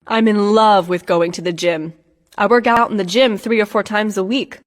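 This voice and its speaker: Woman reading english practice lines slow and clear, lots of hall reverb. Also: medium pitch, steady tone. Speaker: dialogue reader in English lessons. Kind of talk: scripted practice dialogue.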